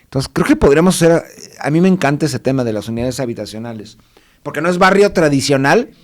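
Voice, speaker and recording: A man with a Mexican accent talks loosely on a panel show. He wanders off on tangents and corrects himself mid-sentence, keeping the tone relaxed and conversational. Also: a little high, fast talker. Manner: rambling, digressive, with frequent self-corrections. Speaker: co-host. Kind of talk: casual panel chat.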